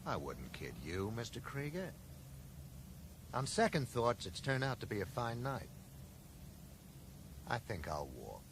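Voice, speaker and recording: A man's voice: medium pitch, wildly swooping pitch, a little slow, long pauses. An actor in a 1980s British radio drama playing a disillusioned, morally indignant man, terse, probing and sardonic. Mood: dry, sardonic. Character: disillusioned, morally indignant man confronting his former best friend. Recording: radio drama recording with faint noise.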